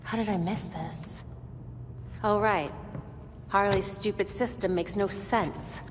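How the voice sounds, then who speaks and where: A woman's voice: medium pitch, slow pace, background noise, natural voice. An actress voicing a young female vigilante hero who talks dry and sarcastic. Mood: wry. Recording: game cutscene with reverb and music.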